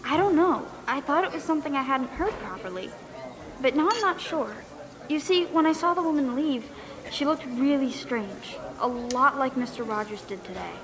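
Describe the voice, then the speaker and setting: A woman's voice: high-pitched, expressive, moderate pace, a crowd in the background. A woman playing an earnest, insistent young wife and dental assistant with suspicions, in an audio drama performance. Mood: suspicious, earnest. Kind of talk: stage audio drama acting.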